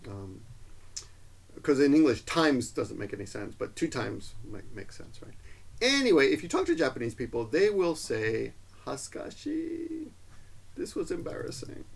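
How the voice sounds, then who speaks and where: A man with a gravelly, husky voice talks through a casual language lesson. He rambles, stops to correct himself and fills gaps with ums and uhs. His delivery is relaxed and explanatory, like a teacher recording at home. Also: mid-range voice, moderate pace. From Peru. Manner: rambling, self-correcting explanations with many fillers.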